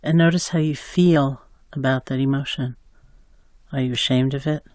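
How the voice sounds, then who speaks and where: Man, meditation guide, calm and slow, mid pitch, dry recording. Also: no room sound. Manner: slow, calm, with long pauses.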